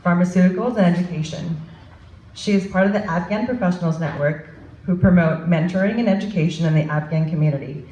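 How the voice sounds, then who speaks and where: A woman's voice: fairly low pitch, a little mellow. A woman introducing a guest speaker informally, with a hesitant, self-deprecating manner. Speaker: event introducer. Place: event hall with an audience. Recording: echoey room, faint background noise.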